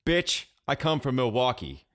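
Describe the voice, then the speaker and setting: A man's voice: mid-range voice, sing-song, measured pace, pitched above the performer's own voice. Man playing Samir, a mischievous young American prankster. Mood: amused, scornful. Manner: casual, sweary, teasing.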